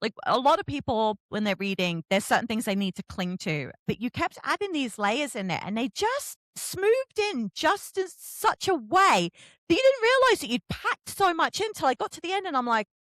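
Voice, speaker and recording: A woman interviewer with a fairly high voice and very animated intonation. She chats casually and effusively, leaning on her words for emphasis. The sound is close and dry. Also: normal speed, no echo. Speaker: book interviewer and host. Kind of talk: casual book interview chat.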